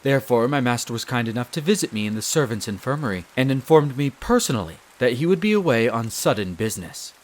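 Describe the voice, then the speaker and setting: A man's voice: mid-range voice, lively intonation, natural voice. Man playing a formal, devoted male butler. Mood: earnest, slightly worried. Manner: formal, deferential, measured and polite. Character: courteous, devoted male wolf butler, head servant of vampire castle. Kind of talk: scripted roleplay audio drama.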